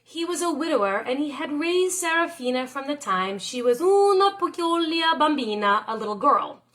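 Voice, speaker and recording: A woman with a high voice reads a children's story aloud. Her delivery is expressive and very animated, with pitch rising and falling widely, in the style of a schoolteacher. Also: moderate pace. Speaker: teacher reading a picture book aloud.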